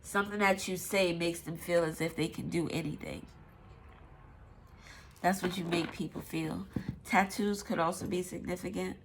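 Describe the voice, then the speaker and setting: A woman's voice: lowish voice, fairly even intonation, normal speed, slight background noise. A woman with a stuffy nose giving a casual, rambling tarot reading, swearing now and then. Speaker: tarot reader.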